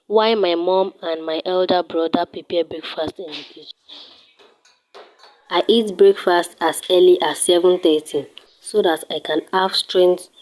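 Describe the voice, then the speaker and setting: A teenage girl from Nigeria, speaking with a Nigerian accent and a slightly low voice. She narrates her home and daily routine at a careful, steady pace, laying things out one by one. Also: poor audio. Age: teens. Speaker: student showing her home.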